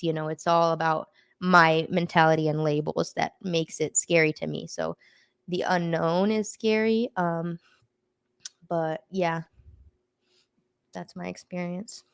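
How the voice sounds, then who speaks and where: A woman with a slightly low, clear and smooth voice talks casually, as if sharing a personal story with a group. Her delivery is informal and conversational, and the sound is close and dry. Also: dry recording. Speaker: participant sharing personal experiences in a group discussion.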